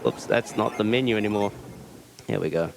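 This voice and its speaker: A man's voice: fairly low pitch, fairly quick, vocal fry, a little hiss or hum. A man with a New Zealand accent giving casual, dryly joking live commentary as he plays a video game. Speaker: speedrunner presenting a live run. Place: live speedrunning marathon event. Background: game music.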